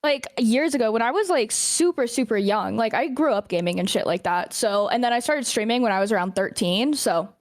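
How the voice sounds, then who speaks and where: A woman's voice: fairly high pitch, brisk, hardly any pauses. A woman in her mid-twenties, a streamer guesting on a podcast, chatting in a laid-back, blunt, giggly way. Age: 20s. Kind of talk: casual podcast chat.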